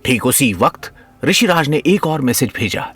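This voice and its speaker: A man's voice: medium pitch, sing-song, normal speed, fairly bright tone, soft background music. A man narrating a scripted audio story in a dramatic storytelling style.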